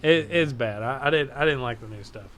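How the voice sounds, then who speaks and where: A man's voice: mid-range voice, fairly quick, a bit bright. A man co-hosting a casual podcast chat, laid-back and swearing freely when he gripes. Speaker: podcast co-host.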